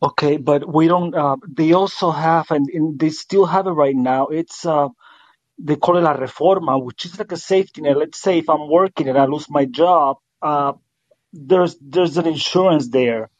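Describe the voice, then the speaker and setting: A man, calling in with a halting, word-searching question. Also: on the high side, dry recording. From Puerto Rico. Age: 50s. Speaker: caller. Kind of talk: call-in question. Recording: dull lo-fi call-in line.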